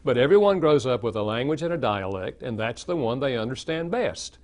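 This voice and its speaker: An older man with a Southern American accent, an author answering interview questions in a steady, explanatory way. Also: mid-range voice, fairly quick. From Southern United States. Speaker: author and guest. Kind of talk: interview conversation.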